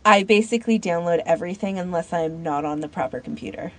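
A woman's voice: lowish voice, quick pace. A woman co-hosting a podcast, talking in a casual conversational discussion. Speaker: podcast host.

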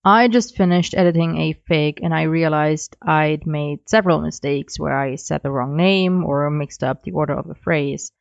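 A woman's voice: deep voice, normal speed, gravelly, hardly any pauses, no echo, lo-fi sound. A woman who is a non-native English speaker, giving informal recorded listener feedback. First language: not English. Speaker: listener sending in audio feedback.

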